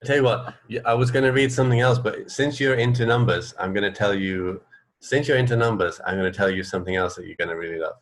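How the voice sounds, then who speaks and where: A man co-hosting a radio show, chatting casually in an informal, halting way. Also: on the low side. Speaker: radio co-host. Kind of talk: casual chat.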